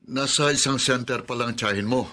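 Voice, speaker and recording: Actor doing concerned buddy in radio drama, mid pitched guy, asking questions. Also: moderate pace, pushed and bright, lightly acted. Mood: curious. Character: concerned, questioning male friend and confidant.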